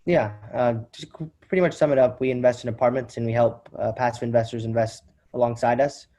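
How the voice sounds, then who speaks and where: Flat, mellow nineteen-year-old man. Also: mid-range voice, a little fast. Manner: concise, businesslike. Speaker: guest. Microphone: video call.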